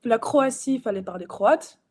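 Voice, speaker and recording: A woman's voice: a little high, animated, measured pace, darkish tone. A young adult woman speaking as an interview guest, spontaneous and hesitant, laughing easily. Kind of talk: casual interview chat.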